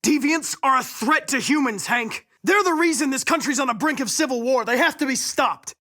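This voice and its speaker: An actor playing a polite, earnest young male android police investigator in a video game, speaking in precise, formal, measured diction. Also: very high-pitched, sharp tone, dry recording, clean studio sound. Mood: pressing. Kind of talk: scripted video game dialogue.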